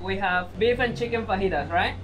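A woman's voice: medium pitch, fairly quick, noisy background. A woman co-host chatting casually and playfully during a boat tour. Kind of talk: casual chat.

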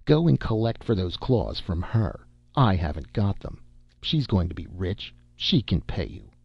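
A defiant, aggrieved circus man played by a male reader argues his case stubbornly. The voice is low and husky, in a storybook recording. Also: very animated, a little slow, heavily acted. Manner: defiant, argumentative. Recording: dull, roomy amateur audiobook recording.

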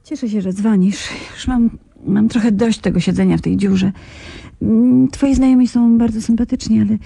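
A young journalist sounds eager and weary of hiding. Her fairly high voice is husky with some creak, and it has a darker tone in a dry, close recording. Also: normal speed, warm tone, no echo.

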